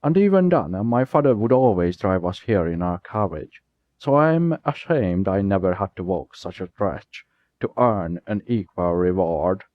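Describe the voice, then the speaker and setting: A man's voice: low-pitched, expressive, a little slow, no room sound. A man voicing an older, well-dressed male carriage driver who speaks in a genteel, fussy way. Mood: nostalgic. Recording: dull lo-fi recording.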